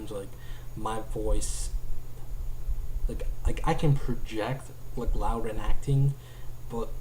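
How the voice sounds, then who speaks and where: A young man chats to a webcam from a small room at home, his low, mellow voice staying fairly flat. He rambles and restarts, filling gaps with "like", over a faint hiss of room noise. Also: low-quality recording. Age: young adult. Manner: rambling, heavy use of "like", restarts often. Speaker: vlogger. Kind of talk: casual talk to camera. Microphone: webcam at desk distance.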